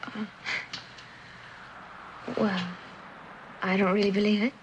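A woman's voice: mid-range voice, flat delivery, slow pace, highs cut off, natural voice. A young actress playing a tough, wary, wisecracking institution-raised girl in a vintage television suspense drama, talking in flip slang. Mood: wary, sardonic. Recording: noisy vintage TV soundtrack.

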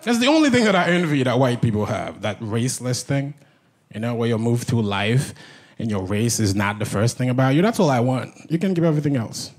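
A man's voice: sing-song, brisk. Young Haitian-accented man doing stand-up. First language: Haitian Creole. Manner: conversational, jumps into high-pitched impressions of others. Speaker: stand-up comedian. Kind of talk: stand-up comedy set. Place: comedy club stage before a live audience. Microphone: handheld stage mic on a stand cable. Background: faint audience laughter.

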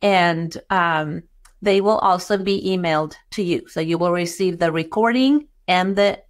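A woman's voice: fairly low pitch, measured pace. A middle-aged woman from Chile with a Chilean Spanish accent touched by Texan, hosting a bookkeeping webinar over a video call in a welcoming way with many fillers. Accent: Chilean Spanish with some Texan. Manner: warm, welcoming, with frequent fillers. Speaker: webinar host and bookkeeping educator. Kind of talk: webinar presentation.

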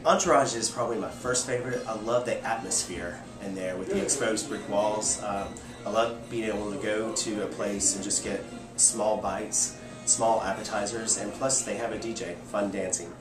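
A man's voice: fairly low pitch, normal speed, over music. A man, talking casually to camera about favorite local places in a friendly, upbeat way with frequent "um" and "uh". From Kentucky. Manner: friendly, upbeat, filler-laden. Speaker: local restaurant worker recommending shops. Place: outdoors by a building, also indoors by a chalkboard. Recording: loud outdoor ambient noise.

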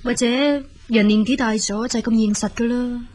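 A regretful, grateful younger sister played by an actress in a Hong Kong Cantonese radio play. Her voice sits fairly high and has a distinctly husky, breathy grain. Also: fairly even intonation, normal speed, a little mellow, natural voice. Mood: concerned. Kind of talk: radio drama acting.

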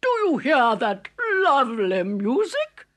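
Lady doing happy mister blackbird for a kids tale, high voice, swoopy and exclaiming. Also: huge pitch swings, a bit bright, exaggerated voice. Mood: delighted. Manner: exclaiming, drawn-out. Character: cheerful male blackbird, moved by music.

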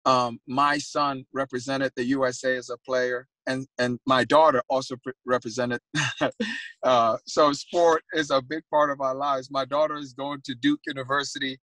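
A man's voice: mid-range voice, level tone, normal speed, a bit bright, no room sound, rough recording. A man from Ghana who coaches soccer, talking from a car into a phone held at arm's length, with easy laughs and filler words. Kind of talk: panel discussion. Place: car interior.

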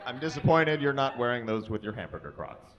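A man in his thirties performing a comic reading live on a small stage into a stand microphone, in a halting way. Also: medium pitch, sing-song, fairly bright tone, highs cut off, a faint crowd. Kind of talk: comic live reading of fan fiction to an audience. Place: small indoor stage with curtain and brick wall.

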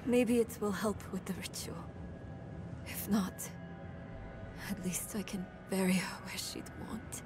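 An actress playing a grieving, determined young woman in a dark fantasy video game, halting and subdued with a bitter edge. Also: mid-range voice, whispered, close to an everyday voice. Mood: bitter sorrow. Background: game music.

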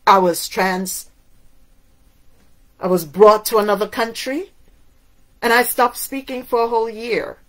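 A woman's voice: mid-range voice, fairly even intonation, measured pace, pauses often, fairly bright tone. A middle-aged woman from Cuba whose first language is Spanish, preaching a sermon to camera in an emphatic way, asking many rhetorical questions. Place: indoors in front of a waterfall backdrop.

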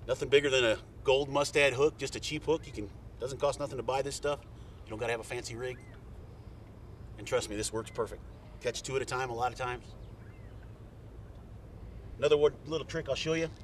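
Brief: middle-aged man, plain folksy how-to talk, recorded from a few feet off outdoors, faint boat hum. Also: mid-range voice. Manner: plain, folksy, step-by-step instructions. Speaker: fishing guide. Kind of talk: casual how-to demonstration. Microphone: camera mic from a few feet away. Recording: distant outdoor camera audio with faint boat noise.

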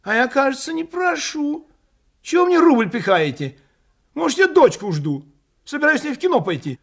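A man voicing an indignant, pushy old woman in a querulous, shrill manner for a storybook reading. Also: very animated, moderate pace, vocal fry, full character voice. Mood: offended.

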